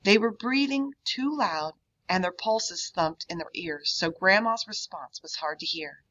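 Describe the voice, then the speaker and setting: A woman reading a children's book aloud in an expressive manner. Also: lowish voice, unhurried, dull audio. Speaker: read-aloud reader.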